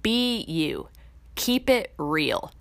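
A woman's voice: sits low, very animated, extremely slow, vocal fry, fairly bright tone, dry recording. A woman hosting a podcast, talking in a casual, blunt, motivational way that swears freely and rises into emphatic bursts. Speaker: podcast host.

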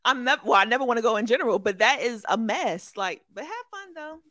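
A woman's voice: fairly high pitch, lively intonation, fast, fairly bright tone. A young woman co-hosting a casual sports chat, playful and teasing, laughing often. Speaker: co-host.

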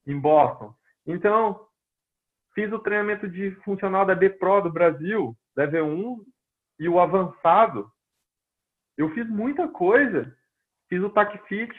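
A Brazilian-accented man talks on a tinny, phone-like line, his fairly high voice a little husky. He tells stories from his work as a personal trainer in casual conversation and briefly plays out each person he quotes. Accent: Brazilian Portuguese. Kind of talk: casual storytelling interview.

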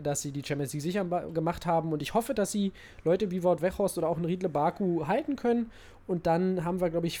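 A man's voice: fairly high pitch, mellow. A man talking football casually, hesitating and restarting his sentences often.

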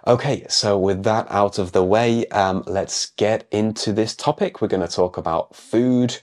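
Male podcast teacher, low voice, croaky from a cold, clear explainer. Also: unhurried, darkish tone. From England. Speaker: English teacher and podcast host. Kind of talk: podcast English lesson.

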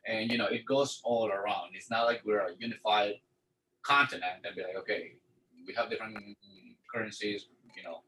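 A man from Ecuador chatting casually on a podcast, animated and acting out the locals he quotes. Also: fairly low pitch, normal speed. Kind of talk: casual chat. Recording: echoey room, mic at a distance.

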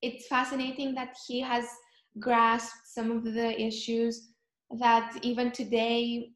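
A young woman from Cyprus, chatting in an interview and often pausing to search for words. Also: on the high side, little pitch movement, a bit bright, roomy sound. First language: Greek. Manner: thoughtful, searching for words, frequent ums. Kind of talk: casual chat.

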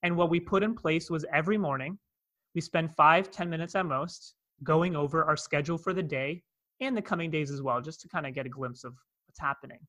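A man's voice: a little high, fairly quick, a bit bright. A man with a Canadian accent, a coach telling a personal story in a podcast conversation, earnest, with many asides. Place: in Cape Town, South Africa.

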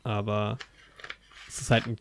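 A man's voice: lowish voice, little pitch movement, unhurried, a little hiss or hum. A man chatting casually about a film, enthusiastic and rambling, with many fillers and restarts. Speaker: podcast co-host.

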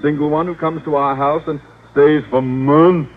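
An actor playing a pompous, blustery middle-aged high school principal in an old-time radio comedy. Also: male voice, steady tone, close to an everyday voice. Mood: hearty, pleased. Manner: pompous, blustery, deliberate emphasis. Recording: tinny vintage radio recording with noise.

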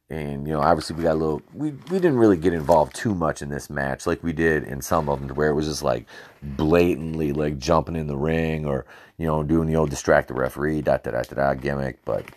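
A man hosting a podcast, telling rambling, swear-filled stories about his time as a ring announcer, with lots of fillers and restarts, recorded on a cheap home microphone. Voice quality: very deep voice. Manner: laughs at his own stories. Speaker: podcast host and former wrestling ring announcer. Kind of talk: casual podcast storytelling.

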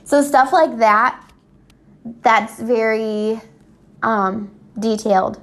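Slow, informal woman with a stuffy voice. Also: a little high. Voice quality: stuffy, slightly scratchy. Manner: informal, rambling, unscripted. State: congested from allergies. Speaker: podcast host. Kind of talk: casual solo chat about personal habits.

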